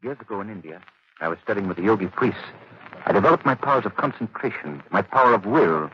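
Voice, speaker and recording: Guy acting a grave old time radio crime fighter, gentleman type, calm n commanding, dramatic, dull vintage recording. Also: on the low side, fast, a little hiss or hum, lightly acted. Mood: grave, explaining. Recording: muffled 1930s radio broadcast recording.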